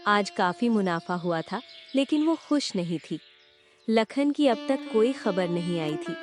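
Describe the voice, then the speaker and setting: A woman narrating a cartoon moral story in a measured storytelling manner. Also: mid-range voice, animated, over loud music.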